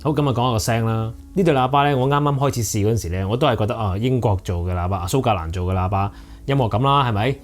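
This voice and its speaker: A man's voice: lowish voice, fast talker, soft background music. A man with a Hong Kong accent giving a conversational, explanatory product review as host. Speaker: audio equipment reviewer and host.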